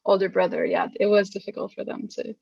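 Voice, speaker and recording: A young woman hosts a podcast interview, reading her introduction in an earnest way and then asking casual questions. Her voice sits in a middle pitch. The sound is a little dull, with no room echo. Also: little pitch movement, fast talker, vocal fry, a little mellow, slightly rough. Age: young adult. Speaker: podcast host.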